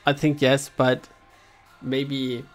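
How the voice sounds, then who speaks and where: German native man streaming games, casual halting chat, soft music behind. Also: medium pitch, completely flat delivery. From Germany, Bavaria. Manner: hesitant, restarts sentences, chuckles often. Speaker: game streamer.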